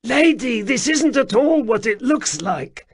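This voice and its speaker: A man voicing an excitable, wheedling grimy male cave-dweller who talks loudly and pleads. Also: extremely high pitch, measured pace, slightly rough, voice pushed up, full character voice. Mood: pleading.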